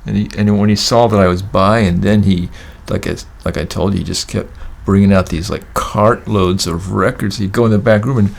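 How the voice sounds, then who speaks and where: An older man with a gravelly voice, telling stories in casual conversation in a halting, rambling way. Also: lowish voice, fairly even intonation. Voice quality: gravelly, creaky voice. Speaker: guest recounting record-collecting trips.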